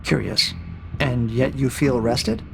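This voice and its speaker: Audio drama AI interrogator, played by a man: mid-pitched, gravelly edge, cold, measured, quietly mocking. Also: slight background noise, low-quality recording. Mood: coolly probing. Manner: measured, formal, deliberate, needling with questions. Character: cold, probing male AI interrogator, calm and mocking. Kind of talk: science fiction audio drama acting.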